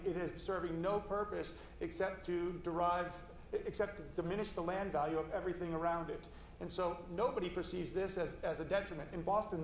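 Middle-aged male panelist, emphatic and earnest, slightly high voice, roomy hall from a distance. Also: a little hiss or hum. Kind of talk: panel discussion. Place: conference stage, indoors.

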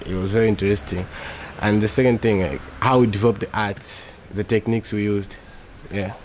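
Low-voiced young Malawian man. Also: fairly even intonation, fairly quick, darkish tone, a little hiss or hum. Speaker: youth programme participant. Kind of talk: documentary interview testimony.

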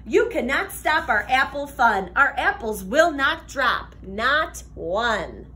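A young woman reads a picture book to children in a high voice with big swings in pitch. Her delivery is slow, sing-song and expressive, like a teacher's. There is faint noise from the room behind her. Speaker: teacher reading aloud to children. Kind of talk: animated children's picture-book read-aloud. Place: classroom, indoors.

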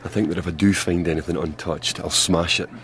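An actor playing a gruff, resolute Antarctic expedition man, terse and grim, in a radio drama. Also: deep voice, steady tone, fairly quick, fairly bright tone, a little hiss or hum. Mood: grim resolve.

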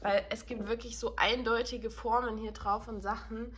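Young woman vlogging in her apartment, a bit husky, chatty and rambly, phone camera. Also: a little high, little pitch movement, moderate pace, a bit bright. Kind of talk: casual chat to camera. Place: apartment living room and kitchen.

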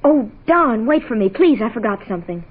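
An actress playing an anxious femme fatale in a 1960s radio melodrama. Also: female voice, fairly high pitch, sing-song, fairly quick, slightly rough. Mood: urgent, pleading. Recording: muffled, noisy 1960s radio recording.

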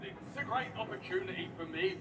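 A man playing an elderly, glum mad scientist in an animated film, hesitant and dry in manner. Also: high voice, brisk, vocal fry, heavy background noise. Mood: wistful, hesitant. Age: older. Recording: distant screen capture with film music.

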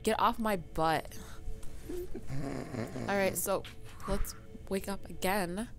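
Raspy sleepy woman doing let's play commentary, slow and playful, loud game music behind. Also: mid-range voice, expressive. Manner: playful, mock-outraged at the game. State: raspy, just woken up.

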